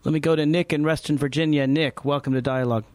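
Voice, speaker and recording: A male radio host with a fairly high-set voice, courteous but direct as he steers callers and a guest. The sound is dry and close, with no room around it. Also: fairly even intonation, quick pace, a little mellow, dry recording. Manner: polite, direct questioning. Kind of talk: call-in talk show hosting.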